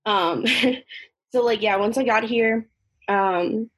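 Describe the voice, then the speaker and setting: Husky young woman chatting. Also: a little high, slow, a bit bright. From northern Mexico. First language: Spanish. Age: young adult. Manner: informal, heavy use of "like", quoting dialogue. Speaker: podcast participant. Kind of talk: casual personal storytelling.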